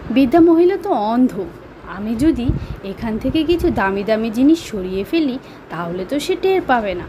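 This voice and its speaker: A woman's voice: a little high, animated, moderate pace, noisy background, close to an everyday voice. A woman voicing a greedy doctor in a storybook tale, formal and insistent in manner. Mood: scheming. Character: greedy male doctor, formal, demanding payment. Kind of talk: storybook dialogue.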